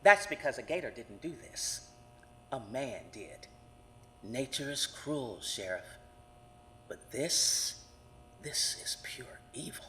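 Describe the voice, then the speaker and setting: A woman playing a world-weary, brooding psychic who hears the dead in an audio horror drama, speaking intensely and confidingly with dramatic pauses. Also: very deep voice, very animated, big-room sound, noisy background, exaggerated voice. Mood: grave.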